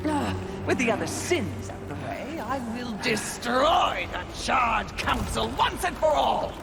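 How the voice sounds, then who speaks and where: A gloating demoness from a video game. She schemes and taunts theatrically, swinging widely in pitch and taking her time. Loud music plays behind her. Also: very animated, unhurried, gravelly, heavily acted. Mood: triumphant. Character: gloating female demonic sin of envy, cunning schemer. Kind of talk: video game cutscene acting.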